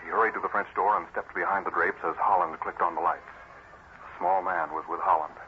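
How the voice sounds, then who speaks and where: Low-voiced male vintage radio narrator. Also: fairly even intonation, a little fast. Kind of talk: dramatic 1940s radio narration. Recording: muffled, hissy old radio recording.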